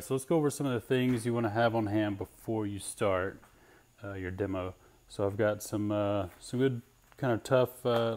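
A man's voice: on the low side, darkish tone. A man giving a casual how-to narration as a home DIY renovator, plain-spoken and matter-of-fact. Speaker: home DIY renovator presenting his own project.

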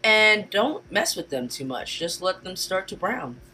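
A woman narrating a cooking video in a voice-over, chatty and relaxed, giving casual step-by-step instructions. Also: medium pitch, a little slow, slight background noise. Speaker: cooking channel host. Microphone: home voice-over mic.

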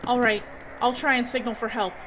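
Woman playing urgent, bossy heroine, high voice. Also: steady tone, fairly quick, natural voice. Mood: brisk, commanding. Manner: urgent, clipped, commanding. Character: tense, bossy female filmmaker trapped in a frozen station. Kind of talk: horror adventure audio drama acting. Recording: dull audio with loud background noise.

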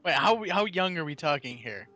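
A man's voice: a little high, normal speed. A man in his mid-twenties chatting while playing a game online, joking and laughing often. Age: 20s. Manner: laughing, animated banter. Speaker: game player and streamer. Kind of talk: casual gaming chat.

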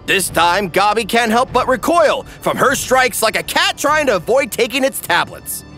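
A man narrating a scripted comedy parody in an animated, tongue-in-cheek manner. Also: very high-pitched, moderate pace, soft background music.

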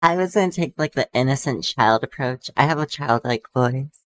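Deadpan player, mid pitch, casual online game chat. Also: normal speed, a little hiss or hum. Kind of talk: playing a tabletop game online.

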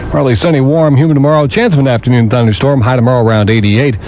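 A man's voice: mid-range voice, fairly even intonation, rapid-fire, darkish tone. A man working as a radio disc jockey, delivering oldies-station patter with time checks, weather and requests. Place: radio studio. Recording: muffled, noisy old radio aircheck.

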